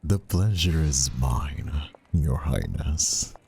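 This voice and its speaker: A man playing a menacing, formally polite gentleman villain in a dramatic audio role play. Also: rumbling low, animated, slow, creaky, heavily acted. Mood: smoothly sinister. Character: menacing gentleman escort, a villain in fine clothes.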